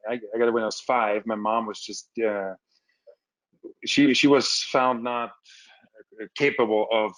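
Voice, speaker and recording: A man from Austria on a video call, telling his story as a guest, quick and often repeating words as he starts sentences. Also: mid-range voice, fairly bright tone, no echo, low-quality recording. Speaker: alumnus guest. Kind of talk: casual webinar storytelling. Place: home room with wood ceiling.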